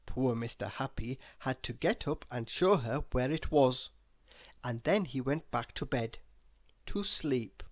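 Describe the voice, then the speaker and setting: A woman reading a children's story aloud in an expressive narrating style. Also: very deep voice, measured pace. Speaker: storybook reader.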